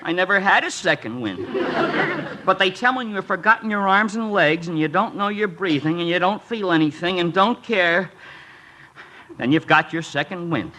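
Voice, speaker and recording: An actor playing an eager, hapless young college runner, out of breath, halting and good-naturedly self-deprecating in an old radio sitcom. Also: male voice, high-pitched, expressive, bright, forceful tone. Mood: cheerful, breathless. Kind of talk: 1950s radio sitcom acting. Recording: very noisy old radio broadcast.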